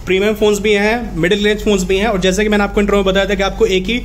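High-pitched male vlog host. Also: level tone, racing, slight background noise. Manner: eagerly agreeing, echoes the seller's words. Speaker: video host, interviewer. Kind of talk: promotional vlog interview.

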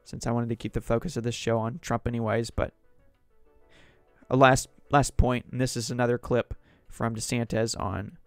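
Solo podcast host, man, going hoarse, rambles through news articles from a desk mic, dry close sound with faint music under. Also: medium pitch, little pitch movement, moderate pace, no echo. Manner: rambling, conversational, reads articles aloud. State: sore throat, losing voice. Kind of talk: solo political commentary.

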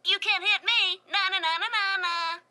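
A cocky, speedy male tiki rattles off boasts and taunts, as voiced by a woman. The voice is very high and bright, and it jumps around a lot. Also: lively intonation, slightly rough, heavily acted. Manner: fast, taunting.

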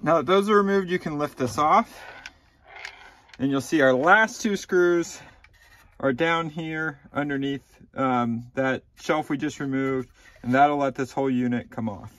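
A man in his thirties calmly walking through a car repair step by step, with a few ums, recorded inside a car. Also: a little high, a little slow, no echo. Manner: plain, methodical instructions with frequent ums. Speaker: DIY repair channel host. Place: inside a car, small enclosed cabin.

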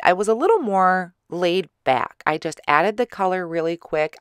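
Slightly hoarse woman narrating a craft video. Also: on the low side, dry recording, clean studio sound. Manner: relaxed, chatty explaining with small fillers. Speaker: card-making channel host. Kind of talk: craft tutorial voice-over. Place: recorded separately as voice-over.

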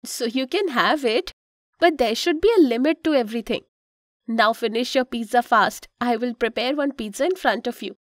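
A woman's voice: fairly high pitch, moderate pace, no room sound, clean studio sound, lightly acted. A woman voicing a kind, instructive mother in a children's cartoon, with an Indian accent and a patient, explanatory manner. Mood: patient, guiding. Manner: patient, explanatory, gently cautioning.

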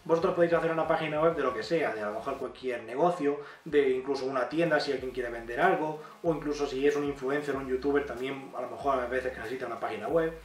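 A man's voice: medium pitch, quick pace, few pauses, reverberant, recorded from a distance, low-quality recording. A man in his thirties with an accent from Spain, giving chatty, emphatic money-making advice straight to the camera as a YouTuber. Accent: Spanish from Spain. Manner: chatty, emphatic, gestures a lot. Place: small indoor room.

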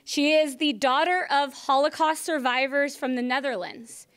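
A woman's voice: high voice, steady tone, moderate pace, fairly bright tone. A woman hosting a public event and introducing a speaker, partly reading, in a meeting room. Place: meeting room indoors with audience.

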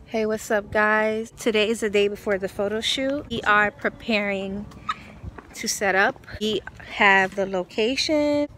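A woman's voice: medium pitch, measured pace, pushed and bright, soft background music. A woman who owns a boutique, chatting casually to a phone camera held at arm's length outdoors. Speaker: boutique owner hosting a behind-the-scenes vlog. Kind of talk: casual vlog chat to camera. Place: outdoors on a lawn.

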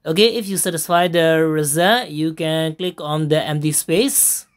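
Woman narrating a software tutorial. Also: deep voice, fairly even intonation. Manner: step-by-step instructions with frequent fillers and pauses. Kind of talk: screen-recorded software tutorial voice-over.

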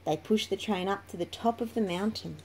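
A woman's voice: medium pitch, brisk, a little mellow. A woman reading a children's picture book aloud in a storytelling style, in a noisy home recording. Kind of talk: slow read-aloud storytelling.

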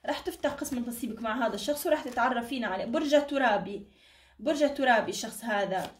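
A woman speaks at a brisk clip in an echoey room, a little away from the microphone. She reads cards informally and reassuringly, with endearments and blessings, her voice somewhat tired. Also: on the high side, recorded from a distance. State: tired, strained voice. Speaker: card reader, channel host. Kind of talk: informal fortune-telling reading to camera. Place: indoor room at a table.

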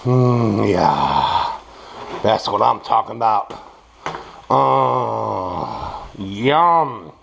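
A man's voice: on the low side, expressive, drawn-out words, vocal fry, pauses often. A man with toothless speech giving a casual, folksy cooking demonstration as a home cook. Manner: folksy, savoring murmurs. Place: small home kitchen, indoors. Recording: noisy kitchen with frying sizzle. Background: frying food, clicks.

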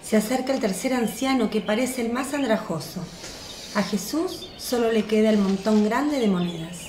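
A woman's voice: on the high side, moderate pace, a little mellow. A woman narrating a radio play in a scripted storytelling style. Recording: roomy echo, faint birdsong noise.

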